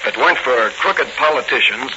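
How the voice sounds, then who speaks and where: A man's voice: mid-range voice, lively intonation, a little fast, close to an everyday voice. An actor playing a confident, earnest policeman hero in a 1940s radio drama. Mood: earnest, assured. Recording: dull, noisy 1940 radio recording.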